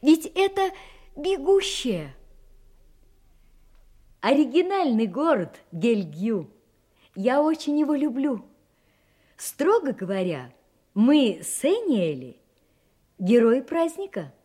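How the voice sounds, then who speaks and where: An actress playing a refined, self-possessed young gentlewoman, candid and faintly mysterious, in an audio drama, speaking elegantly with dramatic pauses. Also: sits high, very animated, full character voice. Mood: softly excited. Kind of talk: Russian audio drama acting.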